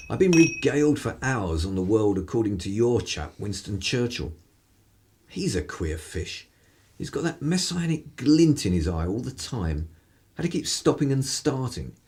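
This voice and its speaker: An actor playing a cynical, irreverent 1930s English broadcaster in a radio play, with a husky voice and sardonic, theatrical turns of phrase. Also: male voice, on the low side, a little mellow, full character voice. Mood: weary, sardonic.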